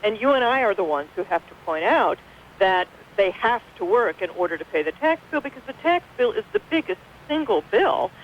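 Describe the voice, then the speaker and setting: A woman's voice: medium pitch, big pitch swings, a little fast. A middle-aged American woman speaking as a guest expert in a radio conversation, articulate and explanatory. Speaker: guest professor and author. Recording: dull, hissy radio broadcast.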